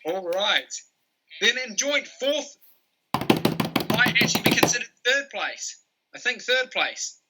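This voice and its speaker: A man's voice: high-pitched, big pitch swings, slow pace, long pauses, very noisy. A man hosting a quiz and reading out results, hesitant and often correcting himself. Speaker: quiz host. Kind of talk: announcing quiz results on a livestream.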